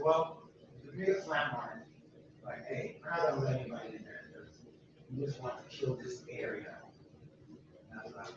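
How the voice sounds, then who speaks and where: A man talks emphatically to a group and circles back on his points. His voice sits a little high for a man and comes from some distance off the mic. The sound is muffled and echoey, with loud ambience behind him. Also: background noise. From United States. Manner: emphatic, rambling, repeats himself. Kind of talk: informal talk to a walking group.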